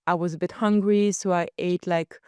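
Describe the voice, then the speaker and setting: A young woman from Belgium hosts a solo podcast and tells her travel story in a chatty, lively way, with quick laughs. Her voice is smooth and resonant. It sounds close and dry, with no room around it. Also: fairly low pitch, dry recording. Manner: chatty and animated, laughs often, slips into quoted voices. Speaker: podcast host.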